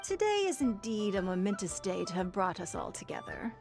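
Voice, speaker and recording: A woman voicing a poised, wealthy, shrewd businesswoman in an anime game, speaking in a refined, formal, measured way. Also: medium pitch, big pitch swings. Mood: gracious. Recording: soft game music behind.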